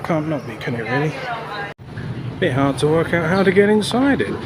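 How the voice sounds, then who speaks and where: A man's voice: fairly high pitch, sing-song, normal speed. Out-of-breath man rambling outdoors. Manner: rambling, chatty, with frequent fillers. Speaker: travel vlogger. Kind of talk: casual walking commentary. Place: outdoors on city streets and a park walkway. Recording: outdoor mic with traffic noise. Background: traffic and city ambience.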